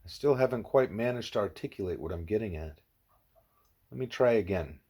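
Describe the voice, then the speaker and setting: A man voicing a grave, patient, wise animal teacher in an audiobook, speaking in a measured, formal, didactic way. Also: fairly low pitch, lively intonation, warm tone. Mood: patient, explaining.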